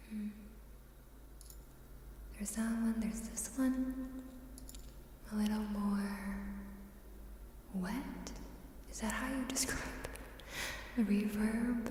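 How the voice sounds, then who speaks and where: A woman livestreaming ASMR in casual chat, soft-spoken and often whispering, with pauses and added reverb on her voice. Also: a little high. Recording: very reverberant hall-like echo, distant, faint noise.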